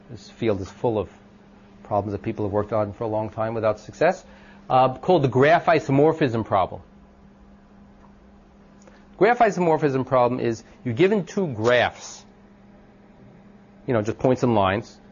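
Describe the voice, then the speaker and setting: Loud-voiced male lecturer for an informal class talk, mid pitch, muffled old recording. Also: breathy edge. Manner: thinks aloud with many ums and restarts, asks the class questions.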